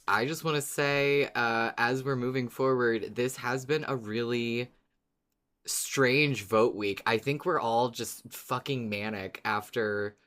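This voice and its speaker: A woman with an exceptionally low voice co-hosts a casual podcast. She talks informally and effusively, happy to swear as she goes. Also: takes it slowly, fairly bright tone. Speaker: podcast co-host.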